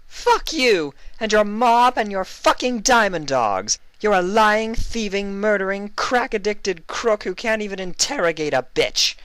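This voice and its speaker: Young female pony played by a man, defiant and combative, raised pitch, close dry sound. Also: expressive, measured pace, hardly any pauses, fairly bright tone, clear, resonant tone, no echo. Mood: furious. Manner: spitting, combative.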